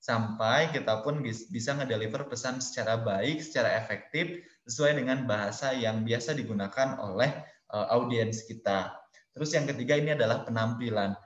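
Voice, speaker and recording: A man's voice: medium pitch, normal speed. A man giving a webinar lecture on public speaking, explaining step by step in numbered points and talking casually to his listeners as friends, over a computer or phone mic on a video call. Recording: roomy, dull lo-fi webinar audio.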